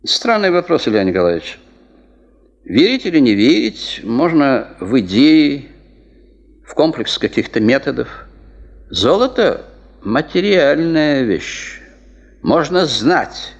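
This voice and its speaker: An actor in a Soviet radio drama playing a gravely ill veteran geologist, a wry, philosophical man who talks in a measured, resigned way. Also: medium pitch, exaggerated voice. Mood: wry, reasoning. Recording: muffled, long reverberant radio-play sound.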